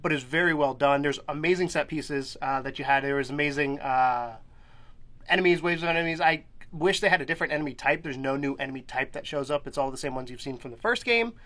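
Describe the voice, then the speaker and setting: A man's voice: on the high side, fast talker, fairly bright tone. A young man hosting a casual gaming podcast, a bit nasal from a cold, rambling and laughing often. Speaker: podcast host.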